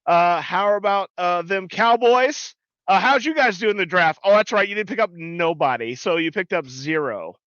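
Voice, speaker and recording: A man's voice: high voice, lively intonation, normal speed, a bit bright, no room sound, dull audio. A man putting on a blustery, boastful middle-aged football head coach with a Southern accent, indignant and swaggering, calling everyone sir. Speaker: podcast co-host in character. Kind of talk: comedic character bit.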